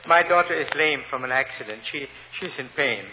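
A man playing a humble, earnest immigrant grocery clerk with a Spanish accent, testifying in halting, deferential broken English in a vintage radio drama. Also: mid-range voice, expressive, lightly acted. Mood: anxious, sorrowful. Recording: muffled old radio recording, hiss, long reverb.

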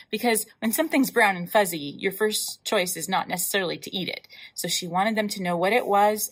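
A woman hosting a library storytime, reading a picture book aloud in an animated way, with emphatic drawn-out words and asides to young listeners. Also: mid-range voice, breathy edge, rough recording. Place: indoors, in front of a wall with floral decals.

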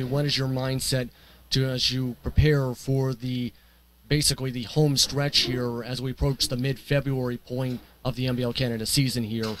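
A man's voice: medium pitch, a bit bright. A man in his twenties working as a sports co-host, asking wordy, rambling post-game interview questions. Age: 20s. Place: indoor basketball arena, courtside. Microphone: handheld microphone. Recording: clear arena background noise.

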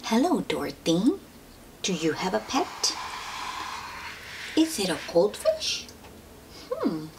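A woman reading a picture book aloud to young children, gently drawing out her words and asking them questions in an expressive way. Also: medium pitch, slow, creaky, pauses often. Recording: steady noise behind the voice.

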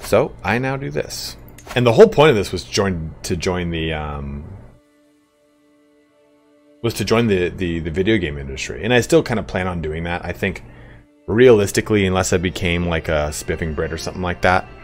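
A low-voiced man in his thirties chats live with his viewers over a strategy game. His delivery is loud, excitable and crude, with his pitch leaping up and down constantly. Soft game music runs quietly behind him. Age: 30s. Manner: loud, excitable and profane, quick swings in pitch. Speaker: YouTube streamer. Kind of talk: live-stream chat while playing a strategy game.

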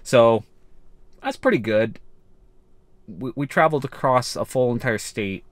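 A man's voice: on the low side, dry recording. A young man from Canada talking casually to the camera in a road trip vlog, rambling with restarts. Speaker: vlogger.

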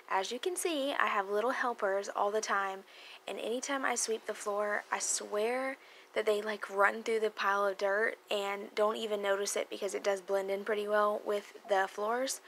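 A young woman narrates her home cleaning in a loose, chatty voice-over. Her voice sits at an ordinary middle pitch. A faint hiss runs under the recording. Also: steady tone, moderate pace, fairly bright tone, low-quality recording. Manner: chatty, explains as she goes. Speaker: cleaning vlogger.

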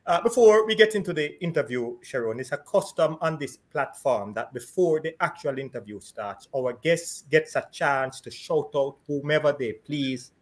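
A middle-aged man hosting a live interview over a video call from his home, laughing often between questions. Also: on the high side. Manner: cheerful, laughs often, quick bursts. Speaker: host. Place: home room with shelves. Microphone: video call webcam.

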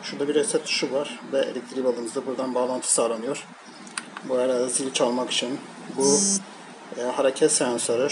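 A man giving a casual, unscripted hands-on product commentary, explaining piece by piece in a halting way. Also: medium pitch. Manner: halting, piecemeal explaining while handling items. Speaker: product reviewer. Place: indoors at a table, hands-on tabletop shoot. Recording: noisy amateur home recording. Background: clear background noise.

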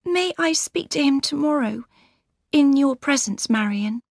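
A woman reading aloud and voicing a gentle, earnest young woman about to turn twenty-one, in a raised, pleading voice. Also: high voice, expressive, a little slow, gravelly, no room sound, clean studio sound, voice pushed up, heavily acted. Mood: resolute.

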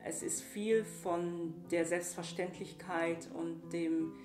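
Lower-voiced woman reflecting in a roomy space. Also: fairly even intonation. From Yaoundé, Cameroon. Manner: searching, halting phrasing with repeated words. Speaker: musician and singer being interviewed. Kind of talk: reflective interview answers. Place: small bright room indoors, seated by a table. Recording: roomy echo, music underneath.

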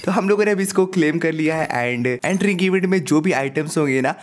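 A young man with a sore throat giving excited live commentary over his own mobile battle royale match, hyping up his kills and laughing often, speaking into a headset at home. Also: on the high side, a little fast, faint music behind. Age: young adult. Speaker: gaming YouTuber. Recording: game gunfire behind.

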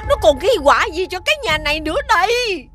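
A woman playing a sharp-tongued, theatrical village aunt who nags in an animated folk tale. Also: very high-pitched, quick pace, over music. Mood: wailing. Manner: theatrical, nagging, exclamatory. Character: scolding, sharp-tongued village aunt, secretly soft-hearted.

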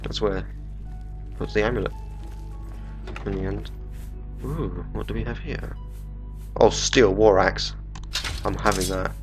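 A young man with a stuffy, bunged-up voice talks his way through a video game in a loose, jokey manner. His voice sits a little low, and game music plays clearly underneath him. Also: a little slow, pauses often. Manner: chatty, jokey, swears casually. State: bunged up with a cold. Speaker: let's play gamer. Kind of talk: casual gameplay commentary. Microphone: desktop microphone.

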